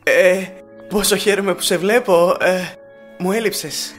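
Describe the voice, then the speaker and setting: A man voicing a naive, eager, smitten young hero, earnest and bashful, in an animated film fan dub. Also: sits high, soft background music. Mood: excited.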